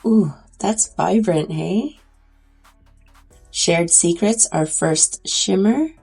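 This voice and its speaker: Female beauty vlogger, stuffy nose, low husky tone, chatty makeup review. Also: lively intonation, extremely slow, a little mellow, soft background music, low-quality recording. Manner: chatty, enthusiastic about swatches. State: congested.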